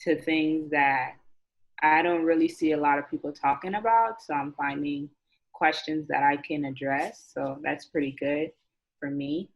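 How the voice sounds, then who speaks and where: Low-voiced young woman, chatting candidly. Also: measured pace. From Nassau, Bahamas. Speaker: interview guest, chemistry graduate student. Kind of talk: casual chat. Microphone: video call. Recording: dull, lo-fi video call audio.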